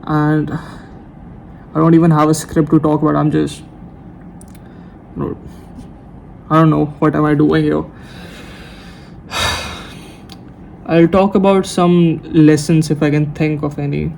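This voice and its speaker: A man's voice: fairly high pitch, gravelly, darkish tone, slight background noise, poor audio. A nineteen-year-old man talking to the camera late at night without a script, rambling and hesitant, often correcting himself. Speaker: YouTuber. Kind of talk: unscripted talk to camera. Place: room at night. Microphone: camera mic.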